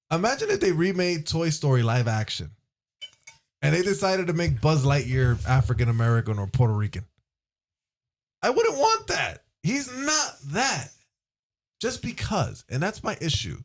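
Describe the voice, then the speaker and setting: A man hosting a casual podcast, teasing his guests and asking pointed questions. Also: fairly high pitch. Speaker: host.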